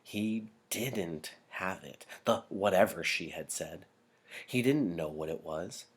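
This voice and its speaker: Male narrator with a low register for an expressive novel read-aloud. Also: slow pace, slightly rough.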